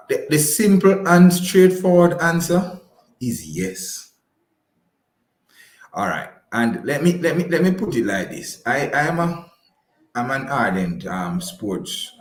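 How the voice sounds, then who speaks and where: A man's voice: lowish voice, lively intonation, slow, roomy sound. A man with a Jamaican accent giving conversational advice as a radio guest, emphatic and didactic, repeating himself and often checking in with the listener. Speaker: guest explaining personal finance. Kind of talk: conversational explanatory radio talk.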